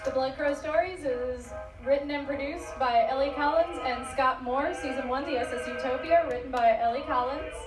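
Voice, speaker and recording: A woman's voice: sits high, moderate pace, hardly any pauses, loud music behind the voice. A woman announcer reading out credits to a live audience. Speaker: show announcer. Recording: echoey live stage, loud crowd, distant mic. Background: loud crowd.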